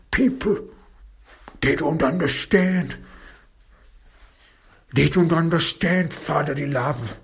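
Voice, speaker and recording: A man's voice: medium pitch, gravelly, fairly bright tone, breathy edge, voice pushed up, full character voice. A man voicing a guilty, self-pitying father in a spoken story, pleading tearfully and repeating himself. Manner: pleading, repetitive, whining.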